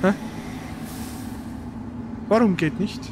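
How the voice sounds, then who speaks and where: A man giving casual, slangy let's play commentary while he plays, reacting out loud to the game. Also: high-pitched, slow, background noise. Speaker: let's player.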